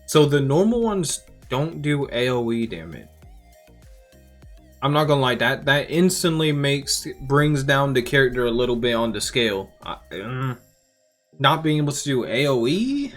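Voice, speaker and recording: A man's voice: mid-range voice, very animated, normal speed, fairly bright tone. A young man giving casual reaction commentary as a gaming creator, thinking aloud in a conversational way. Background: music.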